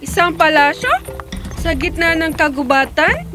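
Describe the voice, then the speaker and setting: A woman voicing a gracious but commanding queen, regal and deliberate, in a fairy tale cartoon. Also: very high-pitched, animated, music in the background. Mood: curious.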